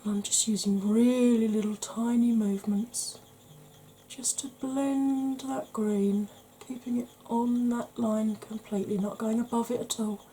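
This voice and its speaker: A woman from the UK, a beauty vlogger talking through a makeup tutorial in a quiet voice that keeps slipping into whispers. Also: on the high side, fairly even intonation, measured pace, a little hiss or hum. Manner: quiet, soft-spoken, drifting into whispers.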